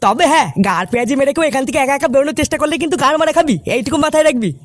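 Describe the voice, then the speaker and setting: A man voicing a bossy, streetwise cartoon boy who leads his gang, with brusque slang and a pitched-up voice. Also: animated, very fast, no room sound. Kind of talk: comic cartoon dub. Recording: music behind the voice.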